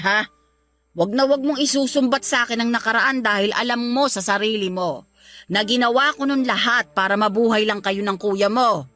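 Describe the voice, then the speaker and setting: A woman's voice: on the high side, fairly bright tone, lightly acted. A woman playing a blunt but caring Filipina grandmother and mother, scolding and exclamatory, in a Tagalog radio drama. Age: older.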